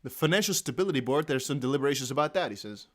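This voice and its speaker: A man with a Dutch accent, a native Dutch speaker, giving chatty, excitable live commentary on a court hearing. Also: medium pitch, very fast. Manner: excitable, chatty, laughs often. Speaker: crypto news streamer.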